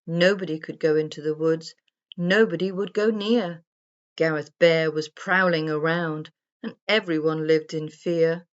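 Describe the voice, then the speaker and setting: A woman narrating a rhyming children's story in a deliberate, sing-song storybook manner. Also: sits low, steady tone. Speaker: story reader. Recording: dull, muffled lo-fi sound.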